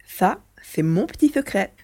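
A woman's voice: medium pitch, very animated, a little slow, warm tone, husky, heavily acted. A woman voicing a mischievous male mouse-beaver alien, a chatty, teasing adventurer in a French audio drama.

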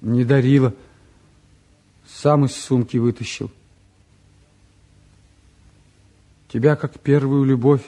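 An actor playing an impulsive, stubborn young son, pleading and insistent, in a Soviet radio drama style. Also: male voice, mid-range voice, warm tone, close to an everyday voice. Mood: subdued, wistful. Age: young adult. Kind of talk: mid-century Soviet radio drama acting.

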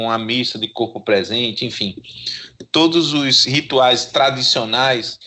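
A Brazilian man speaks as an expert guest in an interview. His voice is bright and somewhat high for a man. He explains with emphasis, restating key phrases to give them weight. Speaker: psychologist and professor, interview guest.

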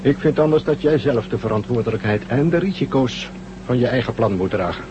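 An actor in a Dutch radio drama playing a stern, proud spaceship commander who talks with sarcastic authority. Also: male voice, medium pitch, animated, a little fast, lightly acted. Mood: sardonic. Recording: muffled, noisy old radio drama recording.

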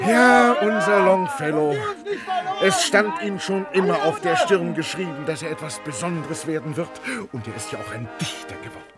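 An actor playing a fussy, talkative small-town stationmaster in a German radio play, chatty and officious. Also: male voice, fairly high pitch, lively intonation, moderate pace, natural voice. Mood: proud, excited. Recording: loud brass band music behind. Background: brass band music.

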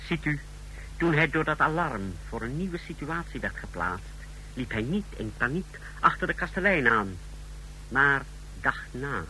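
A sharp, forceful regime officer in a radio play. He explains things efficiently and in clipped phrases to his superior, with deference. There is faint noise on the recording. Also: mid-range voice, sing-song, a little slow, exaggerated voice, low-quality recording. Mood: explaining, deferential. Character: efficient male officer of a totalitarian psychology lab, deferential. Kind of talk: radio drama acting in Dutch.

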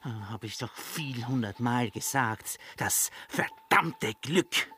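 A man's voice: mid-range voice, expressive, slow, pushed and bright, a little hiss or hum. An actor playing a grumbling, cynical male thief who makes excuses in a theatrical radio play manner. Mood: indignant, blustering.

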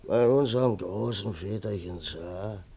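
An actor playing a drunken, befuddled coachman in a German radio play, slurred, halting and rambling. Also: lowish voice, warm tone, slightly rough. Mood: drunk, confused. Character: drunken, befuddled male coachman, good-natured old servant.

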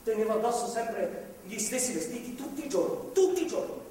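An actor playing a remorseful, broken reformed attacker, halting and pleading, in a stage drama. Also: male voice, extremely high pitch, big pitch swings, dark tone. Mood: anguished, pleading. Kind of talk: live stage drama acting. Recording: distant mic, echoey theatre hall, faint noise.

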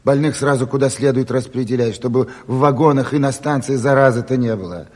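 Man playing a husky, blunt Party chairman. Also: medium pitch, brisk, a little mellow, lightly acted. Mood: brisk, commanding. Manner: blunt, colloquial, clipped questions and orders. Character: brisk, bossy male local Party committee chairman. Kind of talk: theatrical Soviet radio drama acting. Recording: reverberant hall, stage recording.

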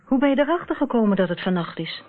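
A woman's voice: on the high side, expressive, fast talker. An actress playing an anxious young woman, questioning and uneasy, in a Dutch radio play. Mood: uneasy, curious. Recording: muffled, tinny old radio recording.

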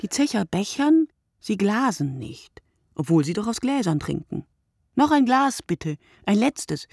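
Radio play narrator, husky and lowish, musing in deliberate poetic fragments, very dry sound. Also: female voice, big pitch swings, darkish tone, no room sound, soft background music, full character voice. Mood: incantatory, wry. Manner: deliberate, fragmented phrasing, dwelling on words. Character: reflective woman narrator musing poetically on night-time drinkers. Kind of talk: literary radio play monologue.

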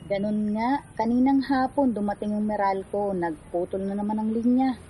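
A woman's voice: mid-range voice, normal speed, dark tone, slight background noise, lightly acted. An actress playing a poor, weary and baffled mother from a slum in a radio drama. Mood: weary, exasperated.

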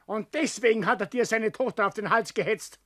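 A high, sharp-edged old Bavarian father, close and dry as in a sixties radio play. He runs the family firm and will not budge, blustering and grumbling in a domineering way. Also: a little fast, no room sound. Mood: grumbling, defiant. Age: older. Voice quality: short of breath, coughing at times. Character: stubborn, ailing old father, domineering building firm boss. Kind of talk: 1960s German radio drama acting.

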